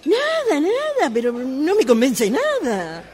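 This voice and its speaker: A woman's voice: high-pitched, huge pitch swings, slow, a little mellow, exaggerated voice. A woman playing a fussy, indecisive, affected lady customer with an Argentine accent in a comic radio play. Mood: flustered, dissatisfied. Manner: theatrical, dithering, pretentious. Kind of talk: comic radio theatre acting.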